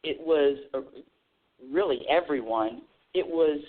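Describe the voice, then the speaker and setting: A woman's voice: sits low, a little slow. A woman hosting a spiritual call-in radio show, talking earnestly and often restarting her phrases. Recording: tinny phone-line audio.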